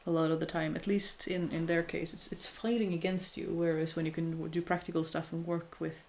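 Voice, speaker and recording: Thirties woman, relaxed live-chat host, lowish pitch, candid and self-deprecating, lots of restarts. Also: fairly quick, slight background noise. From Norway. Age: 30s. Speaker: crafting streamer chatting with viewers.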